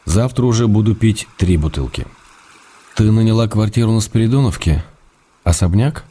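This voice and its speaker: A man's voice: lowish voice, lively intonation, normal speed, mellow, breathy edge. An actor playing an affectionate, slightly weary husband in a radio play, reading out his letters in an intimate, restrained, tender way. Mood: calm, weary. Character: affectionate husband and writer, gentle, weary, fond. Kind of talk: quiet dramatic reading of letters.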